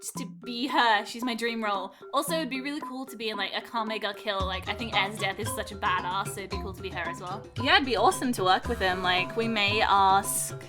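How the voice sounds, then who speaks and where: A woman's voice: medium pitch, fairly quick, few pauses. Casual, bantering young woman over music. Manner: informal, bantering, frequent "like". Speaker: online series creator and voice actor. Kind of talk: casual Q&A chat with co-creator. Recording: music playing behind the voice. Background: background music.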